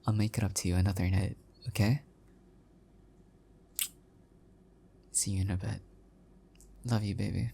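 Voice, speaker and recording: A man playing an anxious, adorkable husband in an intimate audio roleplay, speaking in a breathy, pleading manner. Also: fairly low pitch, steady tone, fairly quick, dark tone, a little hiss or hum. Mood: affectionate, casual. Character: adorkable, anxious, oblivious husband, affectionate and flustered.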